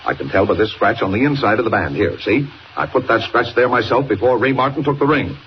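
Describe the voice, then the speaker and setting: An actor playing a gruff, bossy male newspaper chief, brusque and urgent, in 1940s radio style. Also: on the low side, animated, quick pace, natural voice. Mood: brusque, insistent. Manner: brusque, insistent. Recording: muffled 1940 radio recording, some noise.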